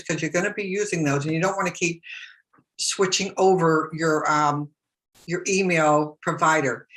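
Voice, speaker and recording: A middle-aged woman walks a viewer through a screen-share tutorial in a relaxed, chatty way, circling back and restarting her sentences. Her voice is bright and clear, though she is losing her voice a little. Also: deep voice, rough recording. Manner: chatty, repeats herself, many fillers and restarts. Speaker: business blogger teaching online marketing.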